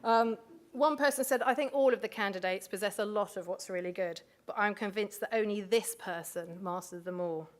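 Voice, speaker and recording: A woman's voice: mid-range voice, normal speed. A woman hosting a live awards ceremony on stage, chatty and full of asides, speaking into a microphone in a large hotel function room. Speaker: awards host.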